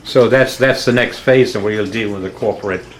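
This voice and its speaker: A woman's voice: extremely low pitch, brisk, slight background noise. A woman interviewing a guest, affirming her and summing up her points before asking questions.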